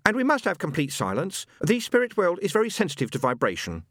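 A man's voice: sits high, wildly swooping pitch, fast, fairly bright tone, dry recording, studio quality, pitched above the performer's own voice, exaggerated voice. A man voicing a fussy middle-aged woman who works as a spirit medium, chatty and sweetly bossy. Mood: soothing, theatrical.

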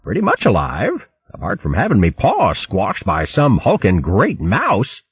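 A man's voice: on the low side, wildly swooping pitch, a little slow, breathy edge, dry recording, pitched above the performer's own voice, heavily acted. A man voicing a jolly, boastful male hare who blusters with old-fashioned exclamations. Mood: blustering cheer. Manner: hearty, blustery, full of "what, what" bluster. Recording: muffled lo-fi recording.

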